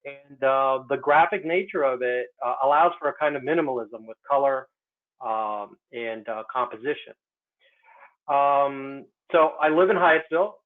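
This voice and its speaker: A man's voice: medium pitch, normal speed. A man, an artist, speaking in a casual online talk, hesitant and full of fillers. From United States. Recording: tinny phone-like line.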